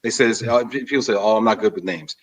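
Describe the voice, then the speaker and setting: Animated man hosting, a bit off-mic. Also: on the low side, quick pace, far from the mic. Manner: animated, emphatic, gives advice. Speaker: host. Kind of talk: casual interview and live chat.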